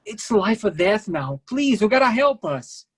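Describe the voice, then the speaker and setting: Man acting husky, desperate foreign fugitive. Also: sits high, sing-song, unhurried, a bit bright, heavily acted. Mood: urgent. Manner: broken English, clipped pleading phrases. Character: desperate fugitive foreign male masseur, urgent and pleading. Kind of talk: staged play reading.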